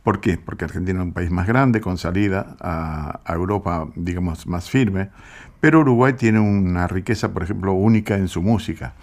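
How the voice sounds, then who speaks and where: A low-pitched man talks about music on the radio. He explains slowly and patiently, pausing now and then to find the word. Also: a little mellow. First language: Italian. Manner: unhurried, explanatory, with small hesitations.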